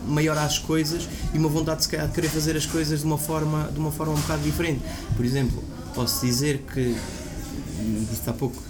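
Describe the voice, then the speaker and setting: A man's voice: a little high, level tone, fairly quick, hardly any pauses, background noise. Young man with a northeastern Portuguese accent. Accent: northeastern Portuguese (Bragança region). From Miranda do Douro, northeast Portugal. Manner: earnest, explains at length with many restarts. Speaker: guest. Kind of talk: casual chat. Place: student radio studio, in a café with clinking dishes.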